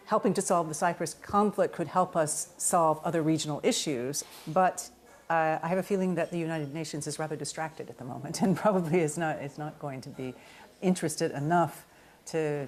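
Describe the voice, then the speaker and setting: A woman's voice: low-pitched, a little fast, a little hiss or hum. A woman giving considered, hesitant expert commentary in an interview.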